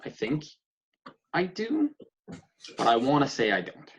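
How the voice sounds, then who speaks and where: A man's voice: fairly high pitch, wildly swooping pitch, measured pace, crowd noise. An actor playing an awkward, polite young man, hesitant and baffled, in a comedic script read over a dull connection. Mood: uneasy. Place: recorded remotely at home on a video call.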